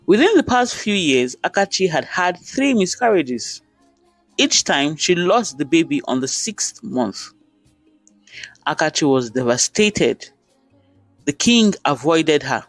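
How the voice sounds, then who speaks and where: A man's voice: a little high, no echo. A man with a Nigerian accent narrating a folktale in short, dramatic sentences. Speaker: storyteller.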